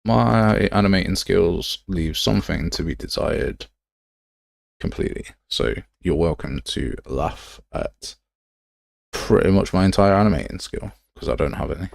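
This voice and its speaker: A man's voice: deep voice, measured pace, gravelly, no room sound, studio quality. A man giving a casual live tutorial, talking to himself as he works and sounding self-deprecating. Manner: muttering to himself while working. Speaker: game development livestreamer.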